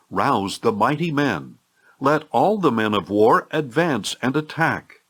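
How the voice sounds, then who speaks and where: A man reading scripture as a solemn audio Bible narrator, with a measured, declamatory delivery. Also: medium pitch, a bit bright.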